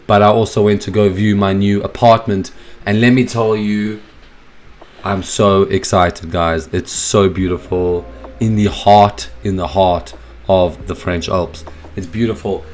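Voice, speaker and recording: A young man with a hoarse, froggy voice from a cold, hosting a casual live stream from his home office, hyped up and greeting viewers in the chat by name. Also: on the low side, slight background noise. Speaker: streaming host.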